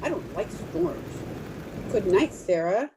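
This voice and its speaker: A woman's voice: medium pitch, sing-song, slow pace, background noise. A woman playing a wistful, self-effacing young bridesmaid in an old-time radio drama. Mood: wistful, bitter.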